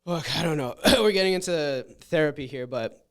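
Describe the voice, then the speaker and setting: A man's voice: on the high side, normal speed. A man in his twenties, a comedian talking in a casual podcast interview, rambling and blunt with frequent restarts. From Canada. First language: Russian. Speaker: comedian guest.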